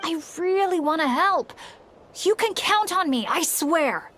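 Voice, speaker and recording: High-voiced eager teenage boy. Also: lively intonation, measured pace, a bit bright, slight background noise, heavily acted. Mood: earnest, frustrated. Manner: earnest, eager, boyish. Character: Bennett, earnest, eager, unlucky teenage boy adventurer. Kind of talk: animated video game voice acting. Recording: faint background music.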